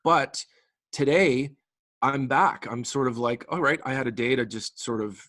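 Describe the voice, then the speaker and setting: Guest musician, male, late forties, mid-pitched, talks eagerly in loose run-on sentences, dry close sound. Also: measured pace, a bit bright, no echo. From Canadian prairies. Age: late 40s. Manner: enthusiastic, rambling with frequent restarts. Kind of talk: casual interview chat.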